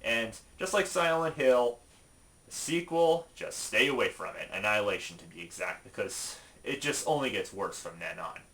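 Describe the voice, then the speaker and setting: A man presenting a top five list in casual, opinionated video commentary, informal and emphatic with halting asides. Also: medium pitch, lively intonation, fairly bright tone.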